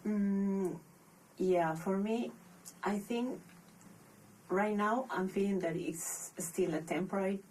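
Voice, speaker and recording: A young woman from Peru with a Peruvian Spanish accent, a podcast guest speaking English as a second language, thoughtful and pausing often to restart her phrases. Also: mid-range voice, a little mellow, distant mic, a little hiss or hum, poor audio. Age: young adult. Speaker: podcast guest, master's student. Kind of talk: casual interview.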